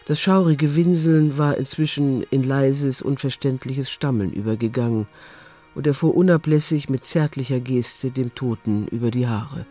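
A man narrating a scripted audio drama in a measured, sober manner. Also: mid-range voice, dark tone. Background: faint music.

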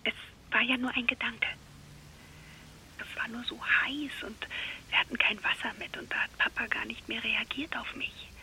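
A woman's voice: on the high side, steady tone, normal speed, vocal fry, bright, forceful tone, husky, whispered, full character voice, rough recording. An actress playing a worried, apologetic middle-aged German wife and mother in a naturalistic radio drama, speaking hesitantly. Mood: hushed, apologetic.

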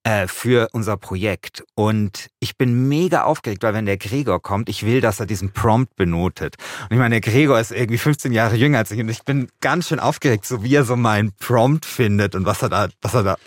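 A man's voice: fairly low pitch, a little fast, few pauses, no echo, studio quality. A middle-aged man hosting a podcast as a journalist, speaking colloquially in a mix of casual chat and first-person narration.

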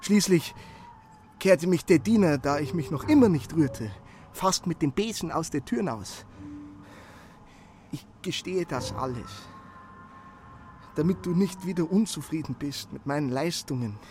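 An actor playing an eager, servile messenger in a radio drama, speaking earnestly and apologetically, with halting repetitions. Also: male voice, a little high, animated, a little mellow, slight background noise. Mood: apologetic, earnest. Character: eager, servile young male messenger reporting his efforts.

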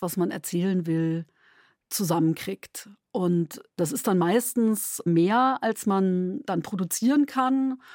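A woman giving a measured, explanatory interview statement as a dramaturg. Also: medium pitch, a little mellow, dry recording, studio quality.

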